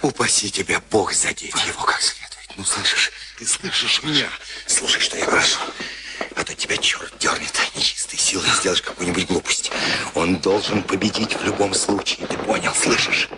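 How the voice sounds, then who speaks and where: An actor in a Soviet radio play playing a gruff, nervous camp henchman who mutters urgently, pleading and threatening, often half-whispering. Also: deep voice, moderate pace, lightly acted. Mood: urgent warning. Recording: noisy archival radio drama recording.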